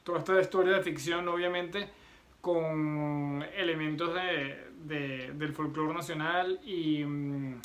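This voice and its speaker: A man's voice: a little high, fairly even intonation, slow pace, a bit bright. A man moderating a conversational interview, politely and with hesitant phrasing.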